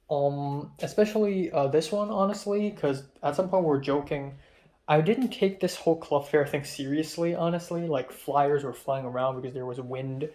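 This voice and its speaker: Young man from hong kong, native cantonese speaker, somewhat high voice, rambling casual vlog chat. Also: a little mellow. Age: young adult. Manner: rambling, chatty, frequent fillers and trailing-off asides. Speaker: college student vlogger.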